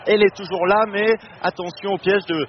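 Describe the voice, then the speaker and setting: A man's voice: sits high, steady tone, brisk. A man giving live play-by-play commentary on a football match, steadily describing the action. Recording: muffled broadcast with background noise.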